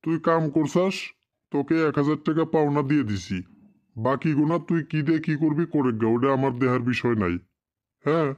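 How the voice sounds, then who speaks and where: A man's voice: a little high, a little fast, a little mellow, highs cut off, natural voice. A man voicing a callous, exploitative cartoon boss, scolding and dismissive in a rural Bengali dialect. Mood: bullying. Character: callous, exploitative boss and his bullying henchman. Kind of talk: cartoon drama dialogue.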